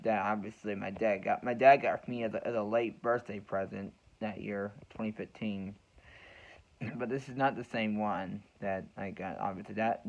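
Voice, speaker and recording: A man's voice: medium pitch, slight background noise. A young American man telling a story casually to his viewers, unscripted, often repeating and rephrasing his words as he goes. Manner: halting, repeats and rephrases phrases. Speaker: weather radio hobbyist vlogger. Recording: dull, muffled lo-fi home recording.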